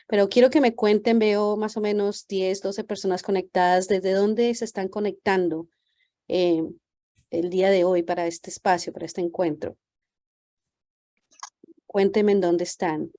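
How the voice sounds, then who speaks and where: A woman's voice: medium pitch, steady tone, unhurried, dark tone. A woman physician welcoming parents on a video call, speaking hesitantly with many fillers. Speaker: pediatric geneticist giving a talk.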